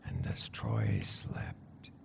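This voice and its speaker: An actor playing a solemn storyteller, narrating an ancient epic in a grave, portentous way for a video game cutscene. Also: male voice, very deep voice, fairly even intonation, very slow, gravelly, darkish tone, a little hiss or hum, heavily acted. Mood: ominous. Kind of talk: scripted dramatic game narration.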